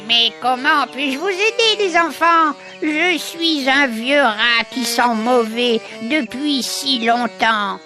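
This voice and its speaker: A woman voicing a kindly, weary old rat with drawn-out delivery in a children's audio story. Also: high-pitched, bright, forceful tone, soft background music, heavily acted.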